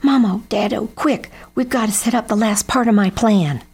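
A woman's voice: medium pitch, moderate pace, darkish tone, breathy edge, voice pushed up. A woman voicing an eager, scheming male scarecrow in a storybook. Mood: urgent.

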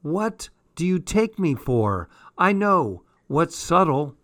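A man's voice: mid-range voice, expressive, slow, a bit bright, dry recording, clean studio sound. A man voicing a breezy, blunt teenage girl, the indiscreet best friend in an audiobook diary. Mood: self-assured.

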